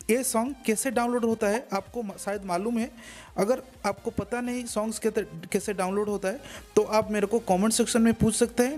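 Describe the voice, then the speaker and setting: A man's voice: sits high, darkish tone. Man teaching over background music. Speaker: tech tutorial host. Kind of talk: step-by-step screen tutorial voice-over.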